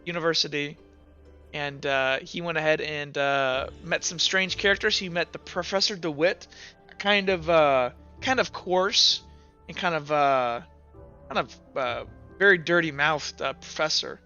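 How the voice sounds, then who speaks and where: Bright, halting male game master. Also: a little high, clean tone, muffled recording, soft background music. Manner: halting, circling back over phrases. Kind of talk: improvised recap and scene narration for a tabletop game.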